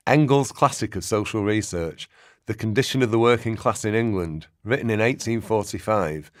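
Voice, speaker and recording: A man acting as a sober narrator, giving measured, expository documentary-style narration in a radio play. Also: fairly low pitch, no room sound, studio quality, lightly acted. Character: sober male historical narrator.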